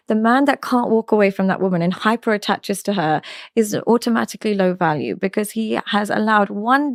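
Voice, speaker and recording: A woman's voice: mid-range voice, dry recording, clean studio sound. A woman answering interview questions as a psychology coach, speaking frankly and assertively, often restarting her sentences. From London, England. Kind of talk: interview answers, giving opinions.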